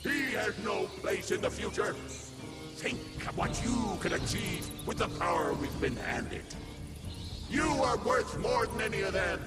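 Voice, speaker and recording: A man's voice: sits high, expressive, loud music behind the voice. A man playing a monstrous undead father in a video game, grandiose and menacing as he preaches godhood. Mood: solemn menace. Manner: grandiose, menacing, slow deliberate delivery. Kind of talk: dramatic video game villain acting.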